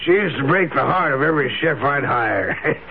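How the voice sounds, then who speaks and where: A man's voice: fairly high pitch, expressive, moderate pace. An actor playing a genial, proud old wealthy father-in-law, jovial and ceremonious, in a 1970s radio drama. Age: older. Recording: dull lo-fi 1970s radio recording with hiss.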